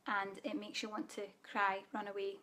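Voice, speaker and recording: A Scottish woman leading a live online spiritual training, speaking softly and calmly, guiding listeners with frequent ums. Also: medium pitch, normal speed. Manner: soft guided-meditation delivery with fillers. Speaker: spiritual teacher.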